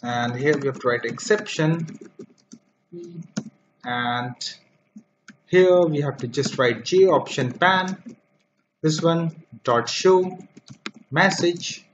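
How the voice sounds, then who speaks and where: A man teaching programming over a screen recording, dictating code step by step with frequent fillers. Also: on the high side. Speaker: programming tutor. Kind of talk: screen-recorded coding tutorial. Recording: dull lo-fi mic with faint noise.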